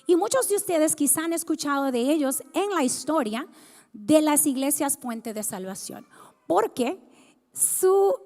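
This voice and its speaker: High-voiced woman in a reverberant church. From Dominican Republic. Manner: conversational, asks the congregation questions. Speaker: guest speaker. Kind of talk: personal testimony sermon. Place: church sanctuary. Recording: long hall reverb.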